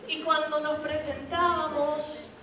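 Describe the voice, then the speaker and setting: A young woman giving a lively, humorous church testimony and speaking directly to the congregation. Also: high voice, slow pace. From raised in Puerto Rico. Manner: lively storytelling, addresses the audience directly, with humor. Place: church hall. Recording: echoey hall, distant mic, noisy.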